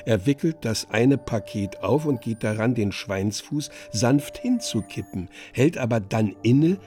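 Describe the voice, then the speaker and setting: A man narrating a radio drama as a measured storyteller, reading vivid literary stage directions deliberately. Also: mid-range voice, animated, warm tone, soft background music, lightly acted.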